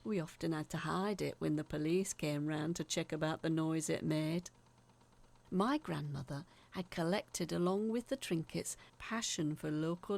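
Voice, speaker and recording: Actress as haunted female letter writer for audio drama: confiding storyteller, creaky edge, very lively intonation. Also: fairly low pitch, exaggerated voice. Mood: confiding, wistful. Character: woman letter writer recalling eerie childhood tales, earnest, haunted. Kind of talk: dramatic scripted narration, read as a letter.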